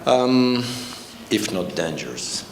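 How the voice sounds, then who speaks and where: A man from the south of France with a French accent, speaking reflectively on a panel in deliberate, measured phrases. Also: fairly low pitch, big pitch swings. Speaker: panel speaker, arts mentor. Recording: roomy echo, faint background noise.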